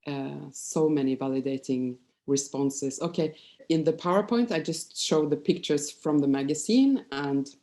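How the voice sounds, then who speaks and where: A Norwegian-accented woman in her fifties speaks with a low, soft-edged, mellow voice. She presents like a psychologist sharing personal experience at an informal talk. Her delivery is candid and hesitant, halting as she finds her words. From Norway. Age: 50s.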